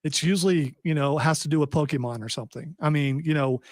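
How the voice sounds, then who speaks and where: A man's voice: a little high, fast talker, dry recording. A man hosting a live pop-culture talk show, chatty and joking, with loose rambling asides, talking into a studio mic. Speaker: podcast host. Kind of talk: casual live show chat.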